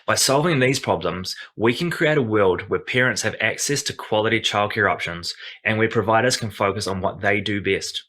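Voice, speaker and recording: A man giving a polished, confident startup pitch as founder. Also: normal speed, few pauses, pushed and bright.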